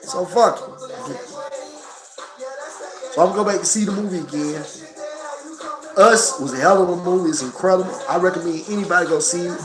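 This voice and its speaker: A man in his thirties with a Memphis accent and a hoarse, coughing voice, reviewing a movie casually and profanely to his phone camera. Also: high voice, brisk, long pauses, music in the background. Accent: Southern US, Memphis. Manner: profane, animated, talks straight to camera.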